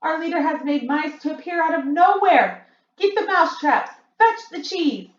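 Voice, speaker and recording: A woman reading a storybook aloud and voicing a crowd of excited female witches who shriek and yell. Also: fairly high pitch, normal speed, echoey room, dull audio. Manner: shrieking, yelling.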